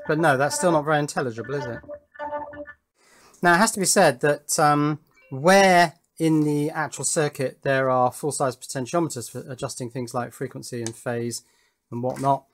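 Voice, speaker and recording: A man's voice: a little high, normal speed. A man hosting a hobby electronics video, talking through his build unscripted in a matter-of-fact, technical and self-critical way. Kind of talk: unscripted workbench explanation.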